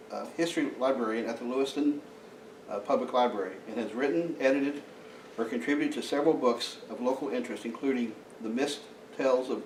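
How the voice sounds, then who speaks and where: A man introduces a guest speaker at a society meeting, stumbling over his words with frequent fillers. He is heard from some distance in a roomy, echoing hall. A faint hiss hangs under his mid-pitched voice. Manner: halting, frequent uh fillers and restarts. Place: meeting room. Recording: echoey room, mic from far off.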